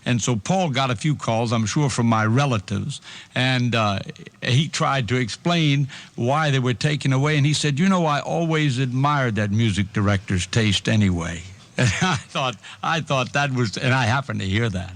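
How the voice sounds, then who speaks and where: Gravelly man reminiscing, medium pitch. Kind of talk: reminiscing, halting.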